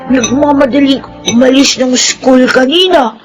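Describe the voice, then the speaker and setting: Little Filipino schoolgirl, very high voice, childlike, polite, questioning, radio drama, music behind. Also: unhurried. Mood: curious. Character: innocent, curious young schoolgirl daughter. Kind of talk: Philippine radio drama acting.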